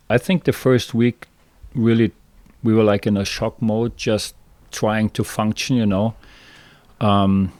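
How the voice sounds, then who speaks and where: Man, German Bavarian accent, flat delivery, halting with fillers, dry close recording. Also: on the low side, no echo. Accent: German, from southern Bavaria. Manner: halting, many fillers and restarts. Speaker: guest, fishing guide. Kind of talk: casual interview storytelling.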